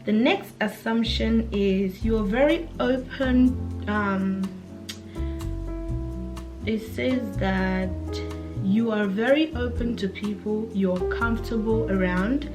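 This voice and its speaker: A woman in her twenties from Africa, chatting casually to camera as a vlogger, relaxed and full of fillers and restarts, with music behind her. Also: medium pitch, slow. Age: 20s.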